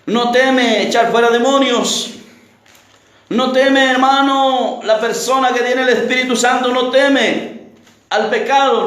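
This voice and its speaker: A man preaching a fiery evangelical sermon, emphatic and declamatory, with repeated phrases and rhetorical questions. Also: very high-pitched. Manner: declamatory, often rising to shouts. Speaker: preacher, pastor. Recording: very echoey, reverberant room sound.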